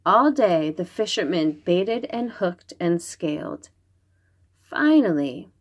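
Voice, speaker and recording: Very slow, expressive woman storyteller. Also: lowish voice. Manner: dramatic, draws out words. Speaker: storybook reader. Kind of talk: expressive children's read-aloud narration.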